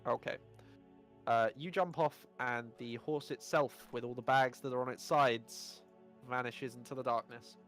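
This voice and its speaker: A man narrating as game master, relaxed and often laughing. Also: medium pitch, measured pace, faint music behind. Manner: relaxed, laughing, lots of filler uh and um.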